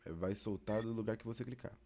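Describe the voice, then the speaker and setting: A Brazilian man teaches in an informal tutorial style. His voice sits fairly low and has a very mellow, soft-edged tone. He explains things casually, step by step, like an instructor beside the learner. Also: a little fast. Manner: casual, explanatory, uses diminutives.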